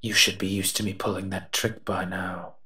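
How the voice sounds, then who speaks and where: A man's voice: deep voice, flat delivery, normal speed, pushed and bright. A man voicing a sardonic, protective and menacing monster who teases and mutters asides in a roleplay audio drama. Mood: teasing, amused. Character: eight-foot male smoke monster, sardonic, menacing, protective.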